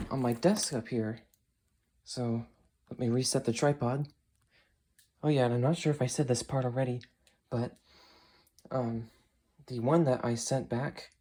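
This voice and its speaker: A man's voice: mid-range voice, mellow. A young adult man giving casual unboxing commentary as he handles the item at home. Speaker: unboxing video maker. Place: small carpeted home room with computer desk. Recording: clear background noise, crinkling and clicks. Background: noise, crinkling packaging, clicks.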